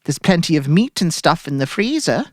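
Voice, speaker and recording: A woman's voice: deep voice, sing-song, moderate pace, dry recording, clean studio sound. A woman playing a devoted, anxious housewife who coaxes solicitously in a dramatic story reading. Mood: eager, solicitous. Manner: coaxing, solicitous.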